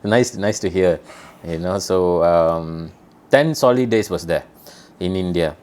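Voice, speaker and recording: A man's voice: low voice, unhurried, a little mellow, smooth. A man from Malaysia telling travel stories in a casual chat, rambling with many restarts.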